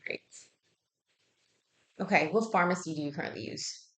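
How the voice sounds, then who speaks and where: A woman's voice: on the low side, moderate pace, a bit bright. A woman working as a healthcare intake interviewer, asking health history questions conversationally and methodically, checking each answer.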